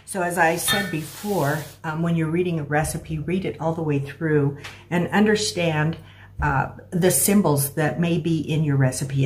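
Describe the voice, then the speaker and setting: A middle-aged American woman giving a casual, step-by-step cooking demonstration in a halting, explanatory way. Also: low voice, a little mellow. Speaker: home cooking instructor. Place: small room at home, indoors. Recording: clear background noise with clicks.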